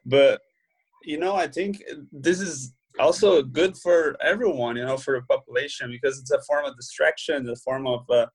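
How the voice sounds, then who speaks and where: A man's voice: medium pitch, moderate pace, husky, poor audio. Brief: young Brazilian man, Portuguese-accented English, easygoing interview guest, laughs easily. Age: young adult. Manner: relaxed, many "you know" fillers, laughs easily. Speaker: guest, professional footballer. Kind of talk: casual interview chat.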